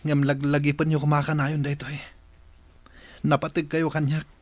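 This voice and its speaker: An actor playing a hurt, dutiful young adopted son in a Filipino radio drama, earnest and plaintive. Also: male voice, fairly high pitch, completely flat delivery, moderate pace, no room sound, natural voice. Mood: sad, resigned.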